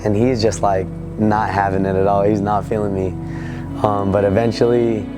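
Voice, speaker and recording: Hoarse young man, low flat voice. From California. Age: 20s. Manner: halting, searching for words. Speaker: boxer. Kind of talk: interview. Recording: with background music.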